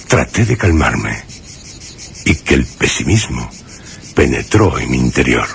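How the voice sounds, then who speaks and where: A man from Spain, with a Spanish accent, playing a brooding, haunted narrator in a suspense radio drama, speaking solemnly and deliberately with dramatic pauses. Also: extremely low pitch, creaky, fairly bright tone, a little hiss or hum, full character voice. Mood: anguished, grave. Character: brooding, haunted man recounting his horrifying memories. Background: music behind the voice.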